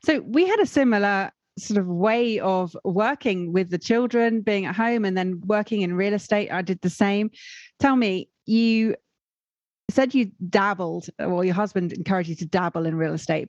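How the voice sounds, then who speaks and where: A woman's voice: mid-range voice, moderate pace, gravelly, a little mellow, no echo, dull audio. A woman hosting a podcast, asking questions in a conversational interview style. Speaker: podcast host.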